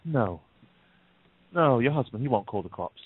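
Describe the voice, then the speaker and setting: A man plays a nervy, bullying male kidnapper in an audio drama. He sneers and threatens in a middling-low man's voice. The recording is thin like a phone call, with some noise and clicks. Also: animated, a little slow, close to an everyday voice. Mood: menacing. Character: menacing, volatile kidnapper, nervous and bullying man. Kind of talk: radio-play style acting. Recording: noisy, tinny phone-like line with clicks.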